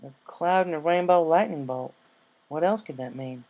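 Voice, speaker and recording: A man's voice: a little high, moderate pace, darkish tone, pitched above the performer's own voice, close to an everyday voice. A man voicing a plain-spoken female farm pony in a storybook reading, concerned and chiding in a folksy way. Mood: half-joking, fond.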